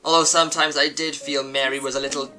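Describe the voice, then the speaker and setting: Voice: mid-range voice, level tone, fast. A reviewer giving a scripted, opinionated commentary with emphatic delivery. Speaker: host, reviewer.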